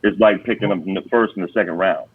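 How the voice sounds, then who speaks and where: A man's voice: sits low, steady tone, fast talker, slightly rough, phone-quality sound. A man debating football casually as a panelist on a sports show.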